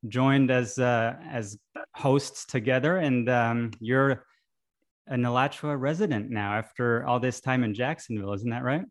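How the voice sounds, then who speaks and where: A man's voice: medium pitch, steady tone, unhurried. A man co-hosting a podcast in a casual chat, who speaks in a friendly but hesitant way, with many ums and restarts. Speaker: podcast co-host.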